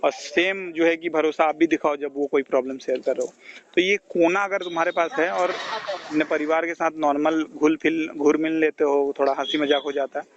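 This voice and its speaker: A man's voice: fairly high pitch, steady tone, moderate pace, lo-fi sound. A man with an Indian accent giving informal phone counselling, explaining things and checking that he is understood, on a call recording. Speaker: health counsellor advising a caller.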